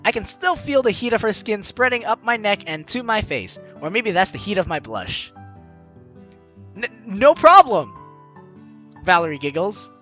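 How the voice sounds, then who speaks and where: A man's voice: high voice, sing-song, normal speed, bright, forceful tone, dull audio, soft background music. A man hosting a let's play, reading game text aloud and adding jokey, theatrical, exclamatory commentary. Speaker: gaming video host.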